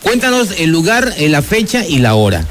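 A man with a Mexican accent hosting a lively radio interview, chatty and enthusiastic, speaking straight to listeners. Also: fairly high pitch, moderate pace. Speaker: radio show host. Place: radio studio booth. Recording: music playing behind the voice. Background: music.

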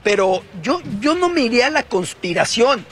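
A man giving measured, analytical football commentary on a TV panel. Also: extremely high pitch, very animated, a bit bright, breathy edge. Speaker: sports analyst. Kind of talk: panel discussion.

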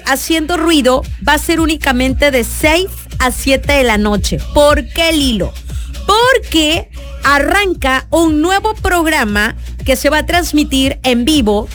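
A bubbly woman DJ with a Mexican accent talks in a high, bright register, her voice a little rough and hoarse. She chats warmly with listeners and laughs easily from a radio booth. Soft music plays faintly beneath her. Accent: Mexican Spanish. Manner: chatty, laughing, greeting listeners. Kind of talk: lively radio show chat.

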